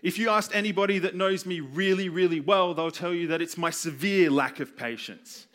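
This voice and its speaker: Young aussie guy doing a sermon, pretty high voice, earnest, repeats stuff for emphasis. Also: normal speed, a bit bright. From Australia. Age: 20s. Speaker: youth pastor preaching. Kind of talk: sermon, personal storytelling.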